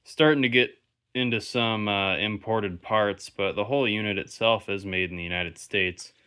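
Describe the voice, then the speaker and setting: A man's voice: lowish voice, moderate pace, sharp tone, clean tone. A man hosting an electronics video, giving casual, unscripted commentary and rambling as he thinks aloud. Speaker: host of a vintage electronics inspection video.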